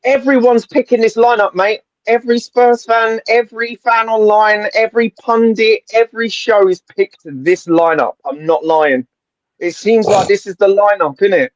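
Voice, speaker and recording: A man chatting about football on a live stream, excitable and laughing often. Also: high voice, moderate pace, no room sound, highs cut off. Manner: excitable, laughs often, says innit and mate. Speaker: panel guest. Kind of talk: casual football chat.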